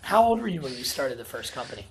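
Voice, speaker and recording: A man's voice: medium pitch, sing-song, quick pace, crowd noise. A man hosting a podcast, asking questions and introducing the guest in an upbeat way. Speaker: podcast host. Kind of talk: podcast interview.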